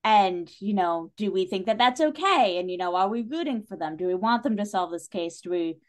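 A woman's voice: mid-range voice, lively intonation, brisk. A woman with a mid-range voice chats as a guest on a book podcast. She is opinionated and emphatic, talking through stories in a casual, conversational way. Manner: chatty and opinionated, often emphatic. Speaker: podcast guest, writer and director. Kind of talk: casual literary discussion.

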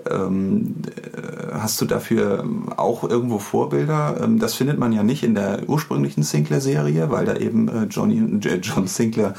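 A man's voice: fairly low pitch, moderate pace, vocal fry, hardly any pauses, mellow. A man hosting a casual podcast interview, feeling his way through questions with hesitant phrasing.

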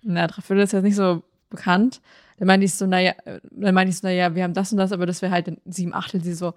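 A woman's voice: on the low side, flat delivery, fast talker, darkish tone. A woman chatting casually as a podcast co-host, telling anecdotes in a colloquial, rambling way with quoted bits of dialogue. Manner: colloquial, self-quoting storytelling.

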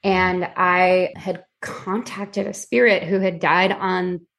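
A woman in her twenties, a guest on a podcast, telling stories animatedly with many fillers and slowing down to explain. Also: lowish voice, flat delivery, vocal fry, fairly bright tone. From Filipino heritage. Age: 20s. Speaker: podcast guest, psychic reader. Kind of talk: casual interview chat.

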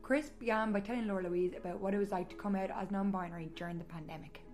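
A woman's voice: medium pitch, steady tone, fast talker. A woman hosting a podcast, reading an upbeat scripted introduction. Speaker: podcast host. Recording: voice over a music bed.

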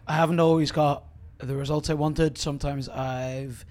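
A man and coach chatting on a podcast, hoarse from losing his voice, laughing at himself. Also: on the high side, fairly even intonation, moderate pace, a little mellow. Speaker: coach and co-host. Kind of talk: casual podcast chat.

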